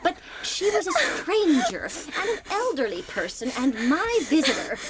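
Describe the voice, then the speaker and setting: A woman playing a stern, upright older spinster on a farm in a dramatised audiobook, with a brisk, firm, scolding manner. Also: high-pitched, very animated, very noisy.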